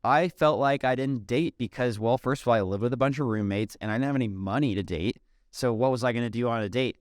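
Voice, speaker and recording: A man, chatting casually as a podcast guest, relaxed and peppered with "um" and "dude". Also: medium pitch, fast talker, dry recording, studio quality. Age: 30s. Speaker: podcast guest, software company CEO. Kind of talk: casual interview conversation.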